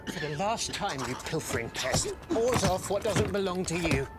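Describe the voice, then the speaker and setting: Exasperated high-voiced man. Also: lively intonation, moderate pace. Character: exasperated British man scolding a thieving critter. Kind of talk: scripted game cutscene dialogue. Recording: loud music behind the voice.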